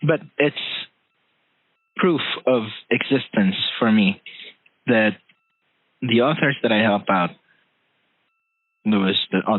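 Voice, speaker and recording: A man's voice: fairly low pitch, dry recording. A man hosting an interview who talks earnestly and hesitantly, full of fillers. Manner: earnest, hesitant, many "you know" fillers. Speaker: podcast host. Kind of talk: interview conversation. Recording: tinny phone-line call.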